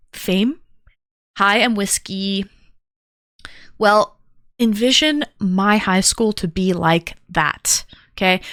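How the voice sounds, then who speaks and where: This is a woman hosting a live stream who calls herself a yapper and talks her way from one viewer greeting to the next. Her voice is bright and lively at a middle pitch. The sound is close-miked and dry, with no room around it. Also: clean tone, dry recording. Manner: upbeat, chatty. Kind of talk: casual livestream chat greeting viewers.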